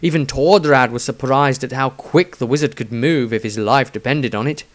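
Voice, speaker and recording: A male narrator tells a scripted fantasy story. His voice sits at an average pitch for a man. The delivery is solemn and theatrical, that of an audiobook storyteller. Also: normal speed. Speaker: author narrating own story.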